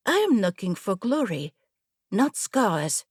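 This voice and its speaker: A woman's voice: medium pitch, sing-song, slow pace, a little mellow, slightly rough, no room sound, studio quality, exaggerated voice. Woman voicing a haughty young noblewoman warrior. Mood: proud, determined. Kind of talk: audiobook character dialogue.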